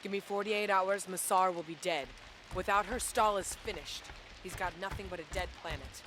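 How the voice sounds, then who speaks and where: A woman's voice: medium pitch, moderate pace, slight background noise, close to an everyday voice. An actress playing a determined, guarded rebel woman soldier in a video game cutscene, speaking earnestly and with command. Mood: firm, persuasive. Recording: loud music bed.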